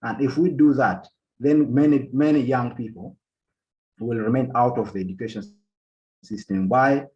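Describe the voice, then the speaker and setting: A man from Uganda speaks on a panel at a middling pitch, delivering an academic policy talk. He halts often and repeats words as he restarts his sentences. The recording is dull and lo-fi. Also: normal speed, mellow. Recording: dull lo-fi sound.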